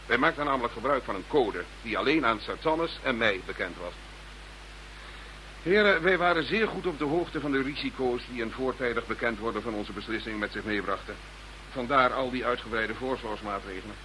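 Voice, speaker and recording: Government minister for radio drama, male actor: formal, eloquent, insistent, forward bright tone, faint background noise. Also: medium pitch, fairly quick, natural voice. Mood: measured, explaining. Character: Dutch government minister protesting his innocence to detectives. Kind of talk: radio play acting. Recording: slightly noisy radio drama recording.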